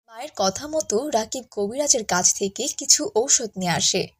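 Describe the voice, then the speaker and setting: A woman narrating a cartoon tale and voicing a gentle, caring, pious village mother. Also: a little high, lively intonation, moderate pace, husky. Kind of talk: cartoon storytelling with character dialogue.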